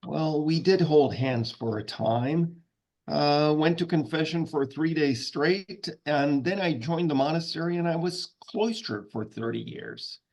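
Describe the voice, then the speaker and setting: Seeking a man to play an earnest, literal older monk for a table read; raspy fry, slow hesitant delivery. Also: a little high, darkish tone. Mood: sheepish. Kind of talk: informal script table read.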